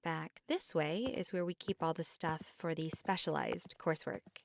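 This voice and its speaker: A woman's voice: deep voice, moderate pace, gravelly, dry recording. A young woman playing a friendly, easily flustered college bookshop clerk in a chatty ASMR roleplay. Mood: helpful, brisk. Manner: chatty, stammering when flustered. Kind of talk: ASMR roleplay, scripted one-sided conversation.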